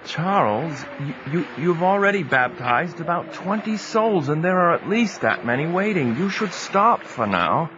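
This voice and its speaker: A worried, caring friend with a lively, imploring voice. He sounds a little higher than most men. The audio is dull and lo-fi, with music underneath. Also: unhurried, hardly any pauses, noisy background. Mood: concerned. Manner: urgent and imploring.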